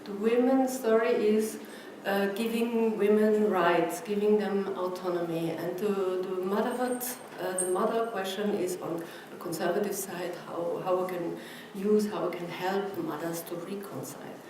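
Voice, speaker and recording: Female academic speaker, Austrian German accent, mid pitch, halting lecture delivery, heard from afar in a very echoey room. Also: steady tone. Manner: halting, searching for words. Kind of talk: conference lecture in non-native English. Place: presentation room with an audience. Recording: echoey room, distant mic, noisy background.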